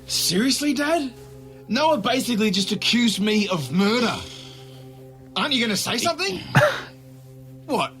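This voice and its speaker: Audio drama role: hot-headed young Australian son, high bright voice, sarcastic and quick to take offence. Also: male voice, very animated, faint music behind, full character voice. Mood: indignant. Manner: sarcastic, needling. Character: defensive, hot-headed grown son, expectant father. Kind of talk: naturalistic audio drama acting.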